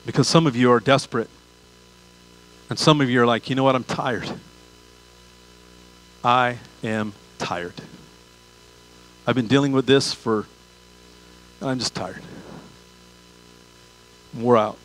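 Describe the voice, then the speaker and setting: An older pastor delivers a sermon in a mid-pitched voice. He speaks conversationally to his listeners. He repeats key phrases and poses rhetorical questions to drive each point home. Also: slow, long pauses, a bit bright, low-quality recording. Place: large church auditorium stage.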